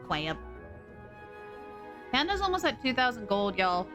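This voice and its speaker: A young woman streams live and chats with her viewers in a quick, scatterbrained way, gasping now and then. Her voice sits a little high and sounds sore in the throat. Music plays clearly behind her. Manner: scatterbrained, chatty, excitable gasps. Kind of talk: casual live-stream chat. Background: background music.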